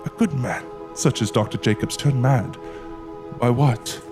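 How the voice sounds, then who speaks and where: A man playing a troubled, stubborn investigator in a period horror audio drama, speaking in a measured, formal and serious way. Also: mid-range voice, natural voice. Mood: puzzled, troubled. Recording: music playing under the voice. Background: music.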